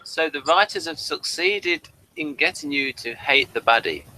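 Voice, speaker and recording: Lively, bright-voiced man hosting a review. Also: fairly high pitch, normal speed, slight background noise, rough recording. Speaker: host of a TV series review. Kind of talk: casual review, reading from notes.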